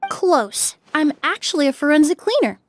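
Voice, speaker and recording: A poised forensic cleaner, whose slightly high voice stays measured and analytical. She weighs each point calmly, in a game dialogue scene. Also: a little hiss or hum. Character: calm, poised female forensic cleaner and perfumer. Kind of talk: video game voice acting.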